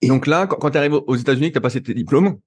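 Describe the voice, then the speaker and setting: Male podcast host, quick casual questioning, mid pitch. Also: breathy edge, no room sound, clean studio sound. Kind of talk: casual interview chat.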